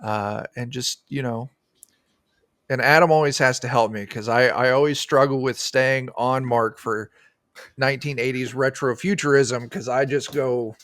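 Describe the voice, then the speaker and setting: A man's voice: medium pitch, steady tone, normal speed, a bit bright, dry recording, studio quality. A middle-aged man, a guest game designer, chatting casually in an interview on a video call. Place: indoor room, on a video call.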